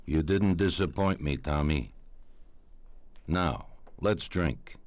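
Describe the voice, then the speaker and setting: An actor playing an elderly, measured, authoritative mafia don in a video game cutscene. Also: male voice, extremely low pitch, no echo. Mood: pleased. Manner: unhurried, authoritative.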